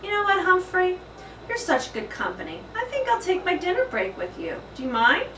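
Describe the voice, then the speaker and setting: A big jovial storybook janitor man, acted by a woman, hearty and joking, pushed up high and loud with a bright edge. It is heard in an echoey room, a bit away from the mic. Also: huge pitch swings, moderate pace, exaggerated voice. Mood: playful. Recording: roomy echo, distant mic, faint noise.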